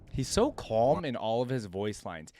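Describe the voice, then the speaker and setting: A man's voice: medium pitch, very animated, unhurried, dark tone, a little hiss or hum. A man reacting casually to game voice lines, thinking aloud and often trailing off. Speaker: reaction youtuber.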